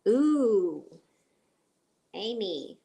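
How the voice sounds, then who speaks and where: Slow-talking raspy woman with a cold. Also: mid-range voice, very animated, mellow. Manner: chatty and rambling, reads viewer comments aloud. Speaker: livestream host. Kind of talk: casual cooking livestream chat.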